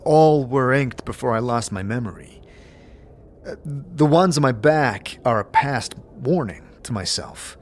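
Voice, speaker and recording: A man in a fantasy roleplay, moving between narration and dialogue in a halting, tentative manner. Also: medium pitch, lively intonation. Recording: faint background noise.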